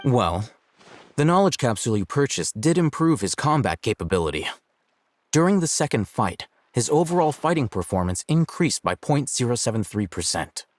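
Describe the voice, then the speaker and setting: An actor playing a composed, aloof young scholar in a video game, speaking in a measured, matter-of-fact and formal way. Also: male voice, mid-range voice, big pitch swings, slight background noise, close to an everyday voice. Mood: cool, matter-of-fact. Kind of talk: video game cutscene dialogue.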